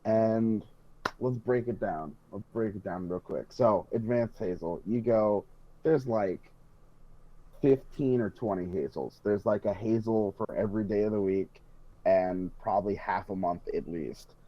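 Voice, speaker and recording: Low-voiced man, dull dry recording. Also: unhurried. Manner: emphatic, profane, rambling enthusiasm. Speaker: podcast co-host. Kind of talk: casual enthusiast podcast chat.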